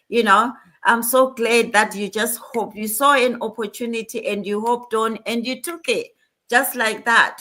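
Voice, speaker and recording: A woman hosts an online panel chat with a bright, forceful tone and a voice pitched a little high. She talks in an earnest, motivational way. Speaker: host.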